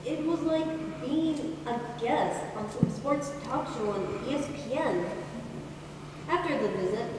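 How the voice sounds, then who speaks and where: A young woman playing a grown daughter wistfully recalling her father in a stage monologue. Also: fairly high pitch. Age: teenager. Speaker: high school drama performer. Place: large indoor hall at a school play. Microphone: distant camera. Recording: echoey hall from far off, faint noise.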